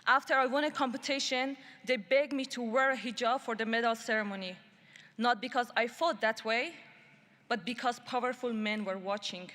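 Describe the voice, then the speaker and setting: A woman's voice: on the high side, steady tone, a bit bright. Emphatic woman speaking in a reverberant hall. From Iran. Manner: deliberate, measured and emphatic. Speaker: speaker at a human rights summit. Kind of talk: prepared speech. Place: large conference hall.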